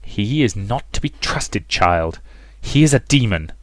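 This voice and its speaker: A man's voice: fairly low pitch, animated, unhurried, no echo. A man voicing a vengeful, hysterical dead mother who rants harshly in a dramatic story reading, with music behind him. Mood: scornful, urging. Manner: harsh, accusing, ranting.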